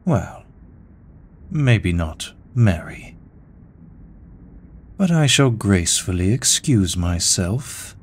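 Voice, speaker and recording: A man's voice: low voice, a little mellow, slight background noise, heavily acted. A man voicing a seductive, courteous immortal incubus demon in a roleplay audio drama, speaking to the listener in a silky, theatrical way. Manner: slow, silky, theatrical, courteous with drawn-out words. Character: seductive, teasing male incubus demon, immortal deal-maker.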